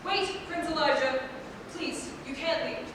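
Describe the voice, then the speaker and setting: Woman as nervous older castle gentleman, high-pitched, faltering, remorseful, stage drama, distant in noisy hall. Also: measured pace. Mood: desperate. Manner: hesitant, pleading, faltering. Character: anxious, remorseful older male castle master. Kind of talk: theatrical staged reading.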